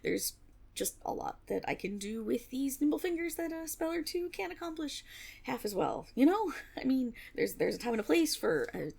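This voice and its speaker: A woman's voice: high voice, sing-song, normal speed, darkish tone, heavily acted. A woman playing an eager, nervous young female tiefling rogue in an intimate fantasy audio roleplay, rambling and stammering with a teasing streak. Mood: flustered, apologetic. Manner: nervous rambling with stammers, sighs and breathy giggles.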